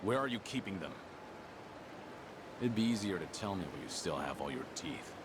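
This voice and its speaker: An actor playing a calm, menacing middle-aged ex-yakuza vigilante in a video game, terse and intimidating. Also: male voice, lowish voice, moderate pace, noisy background. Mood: stern, threatening. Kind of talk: dramatic video game voice acting. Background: music.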